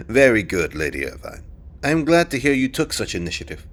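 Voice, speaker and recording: A man playing a haughty, disdainful king in a fantasy audio drama, with a pompous, condescending manner. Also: medium pitch. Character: haughty, disdainful king, imperious and old-fashioned.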